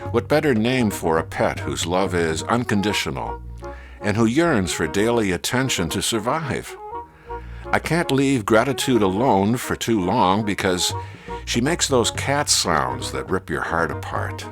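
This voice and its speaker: A man reading his own humorous, reflective essay as scripted narration, with a wry, measured storytelling manner. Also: deep voice, fairly bright tone. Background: music.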